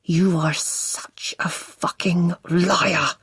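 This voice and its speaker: Seething controlling man, low-voiced, slow menacing snarl, read by a woman narrator. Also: animated, husky, dry recording. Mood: furious.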